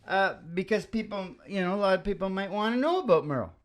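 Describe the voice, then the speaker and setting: A man hosting a homemade radio show in casual, rambling banter, with halting, folksy asides. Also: high voice, expressive. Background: acoustic guitar playing.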